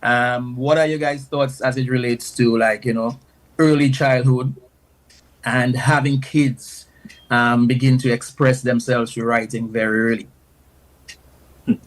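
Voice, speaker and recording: A man's voice: mid-range voice, steady tone, unhurried, pauses often, low-quality recording. A man hosting a radio talk show, asking his guests questions in a loose, conversational way.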